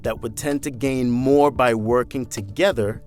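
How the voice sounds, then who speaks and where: A man's voice: mid-range voice, fairly even intonation, measured pace, a little mellow, faint music behind. A man narrating a news feature in a scripted, conversational voice-over, asking rhetorical questions.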